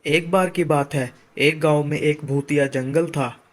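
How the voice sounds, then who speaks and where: A man with a slightly higher-than-usual voice reads as a story narrator. The delivery is scripted, dramatic storytelling. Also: steady tone, unhurried.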